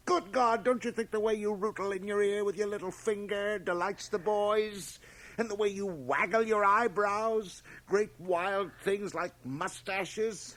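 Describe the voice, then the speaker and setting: An actor playing a blustering board chairman of about fifty in a radio drama, with an emphatic, sometimes halting delivery. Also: high voice, poor audio. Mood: scathing outburst. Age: middle-aged. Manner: emphatic, hesitant then blustering. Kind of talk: 1980 radio drama acting. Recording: home cassette transfer of radio broadcast.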